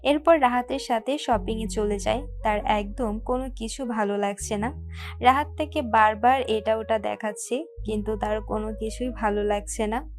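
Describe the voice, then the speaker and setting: A woman narrates a scripted story. Her voice is fairly high, and she delivers it as a storyteller reading prepared text. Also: fairly even intonation, normal speed.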